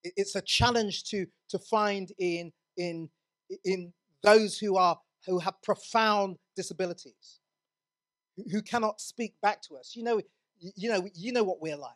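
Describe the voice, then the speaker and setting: A man's voice: high voice, a little slow, a bit bright. A man from England preaching a sermon in a church, earnest, often restarting his words.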